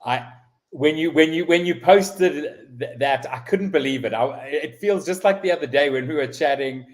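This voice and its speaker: A man's voice: on the high side, moderate pace. Male host of a live online interview. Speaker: show host.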